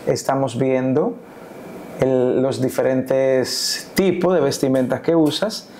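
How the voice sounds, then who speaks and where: A man's voice: mid-range voice, steady tone, slow, dark tone, slight background noise. A man in his thirties hosting an interview, asking short follow-up questions on a lapel mic. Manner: brief prompts and follow-up questions. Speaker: host. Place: indoor living room set.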